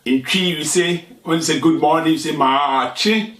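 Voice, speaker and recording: A Ghanaian man who grew up speaking Twi hosts a satirical talk segment in English, in a voice pitched slightly high. He explains things at an unhurried pace, pausing and restarting. He sits a little back from the mic in a roomy, echoing space. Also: a bit bright, recorded from a distance. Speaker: show host.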